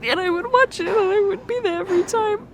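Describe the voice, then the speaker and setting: A woman voicing a distraught young fiancée in an audio roleplay, talking haltingly with stammers and repeated words in a shaky, tearful voice. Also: very high-pitched, a crowd in the background. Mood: grief-stricken. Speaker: ASMR roleplay performer.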